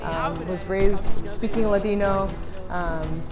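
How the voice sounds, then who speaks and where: A woman's voice: medium pitch, fairly even intonation, slow, over music. A woman singer and musician talking gratefully about her family's musical background. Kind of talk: documentary interview.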